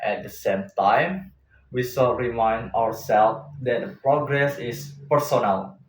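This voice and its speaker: A man gives a prepared motivational speech at an unhurried, slow pace, phrase by deliberate phrase. His mid-pitched voice comes from some distance in an echoey room. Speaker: speech contestant. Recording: echoey room, distant mic, faint noise.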